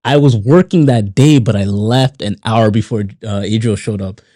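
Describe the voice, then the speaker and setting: A man's voice: fairly low pitch, moderate pace, mellow, dry recording, studio quality. A man in his late twenties hosting a podcast, bantering and teasing his co-host in casual chat. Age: 20s.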